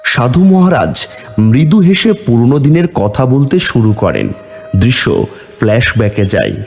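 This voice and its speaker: A man's voice: medium pitch, sing-song, normal speed, a little mellow, faint music behind. A man narrating a scripted fairy-tale cartoon as the storyteller.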